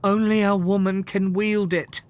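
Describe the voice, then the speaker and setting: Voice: medium pitch, flat delivery, a little slow, clear, resonant tone, voice pushed up, lightly acted. A storyteller voicing a brave young woman adventurer, earnest and emotional, in a children's fairytale. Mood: warning. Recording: music under the voice.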